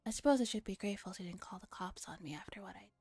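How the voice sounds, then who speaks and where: A woman's voice: mid-range voice, very fast, darkish tone, slightly rough, no echo, faint music behind, voice pushed down. A woman voicing a pompous, suspicious young would-be mad scientist in a visual novel read-aloud. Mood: wary, brooding. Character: dramatic paranoid young man, self-styled mad scientist.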